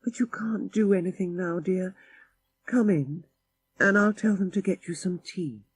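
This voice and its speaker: A man's voice: sits high, unhurried, slightly rough, pitched above the performer's own voice. A man voicing a kind, solicitous young Victorian lady in an audiobook story. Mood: soothing. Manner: gentle, solicitous.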